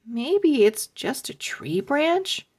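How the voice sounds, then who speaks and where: A woman voicing an excited, playful little boy. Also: sits high, extremely slow, pitched above the performer's own voice, full character voice.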